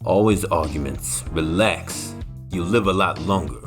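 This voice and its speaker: Man acting deep-voiced, sardonic American pilot. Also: measured pace. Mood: gruff, impatient. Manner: sardonic, deadpan. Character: unflappable, wry veteran pilot, gruffly dismissive. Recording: loud music behind.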